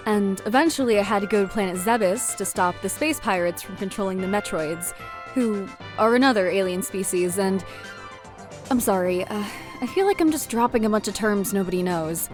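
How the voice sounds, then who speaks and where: A woman playing a guarded, awkward space heroine in an animated comedy, talking in a hesitant, matter-of-fact way. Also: mid-range voice, normal speed, close to an everyday voice. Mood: awkward, explaining. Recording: music behind voice.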